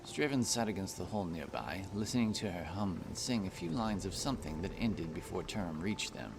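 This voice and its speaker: A man's voice: low-pitched, lively intonation, fairly quick, music in the background. A man giving scripted narration of a fantasy story as its narrator.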